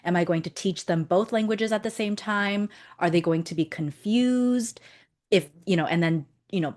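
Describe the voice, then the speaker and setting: A woman's voice: on the low side, darkish tone. A woman from Canada, hosting an interview and asking thoughtful follow-up questions. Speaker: host.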